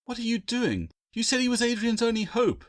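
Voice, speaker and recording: A man's voice: very high-pitched, very animated, a little fast, dry recording, studio quality, pitched above the performer's own voice. A man voicing an anxious, defiant young orphan girl in a fantasy audiobook, pleading and insistent. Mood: distraught.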